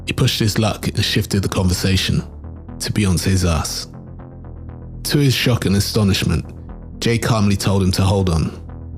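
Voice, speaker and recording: A man reading scripted documentary narration in a measured, dramatic storytelling manner. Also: fairly low pitch.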